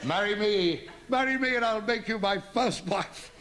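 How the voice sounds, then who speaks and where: Man as a cheeky high-voiced pantomime suitor. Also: moderate pace, a crowd in the background, close to an everyday voice. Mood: teasing. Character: cheeky amorous pantomime suitor wooing the dame. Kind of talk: stage pantomime comedy. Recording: live stage with loud background noise.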